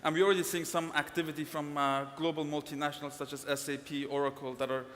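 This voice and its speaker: A man's voice: fairly high pitch, little pitch movement, quick pace, a bit bright. A man giving a conference panel presentation, hesitant, with many fillers and restarts. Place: conference hall. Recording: long reverb in a conference hall.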